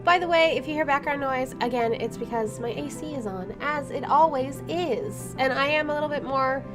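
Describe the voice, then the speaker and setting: A woman's voice: high-pitched, moderate pace. A woman doing a let's play, reading game text aloud with wry, informal asides and interrupting herself as she goes. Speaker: gaming streamer. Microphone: microphone placed to one side. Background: game music.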